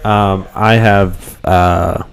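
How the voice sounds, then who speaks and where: Smooth low-voiced man with a cold. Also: extremely slow. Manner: relaxed and rambling, with many ums and restarts. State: voice cutting in and out from a cold. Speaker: co-host of a fan podcast live stream. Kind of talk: casual live-stream chat.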